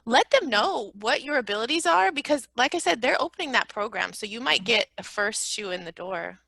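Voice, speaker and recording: A woman teacher chatting on a video call in a relaxed, helpful and a little playful way. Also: on the high side, brisk, gravelly. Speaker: online English teacher. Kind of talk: casual video call chat.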